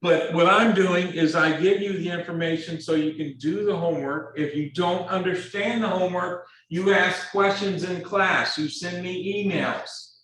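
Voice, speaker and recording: A man lecturing a math class, speaking in a halting, earnest way with repeated words and many fillers. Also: sits high, a bit bright. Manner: earnest, pleading. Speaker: math instructor. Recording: very echoey classroom, distant mic.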